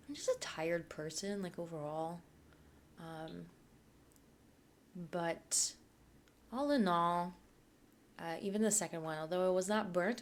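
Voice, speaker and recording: A woman's voice: lowish voice, a little hiss or hum. A young woman from Poland, chatting casually as a YouTuber while she builds in a game, rambling and thinking aloud.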